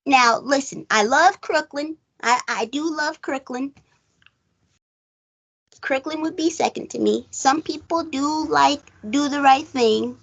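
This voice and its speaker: A woman with a fairly high voice and a clearly creaky edge hosts a casual review show. She takes her time, stating opinions firmly and repeating phrases for emphasis. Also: slow pace. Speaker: host of a movie review show.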